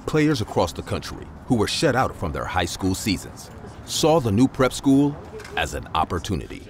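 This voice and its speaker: A man narrating a documentary in a measured, scripted voice-over. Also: on the low side, sing-song, a little hiss or hum. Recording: music bed under voice-over.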